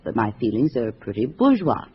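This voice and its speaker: Low-voiced, seductive female communist lure. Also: very animated, a little fast, husky, exaggerated voice. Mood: coaxing, flirtatious. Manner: gushing, coquettish. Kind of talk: 1950s radio drama acting. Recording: muffled vintage radio recording, faint hiss.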